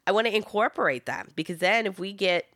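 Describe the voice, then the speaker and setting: A woman podcast host talking in a casual conversation, emphatic and animated, often laughing. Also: medium pitch, quick pace, no room sound, clean studio sound. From Italian family, raised in America.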